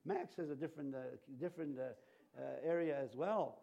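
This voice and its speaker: Pastor, male, Cree and Ojibwe first languages; chatty, halting sermon delivery, warm and slightly high. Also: slightly rough, muffled recording. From Canada. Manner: rambling with many fillers and restarts. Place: church.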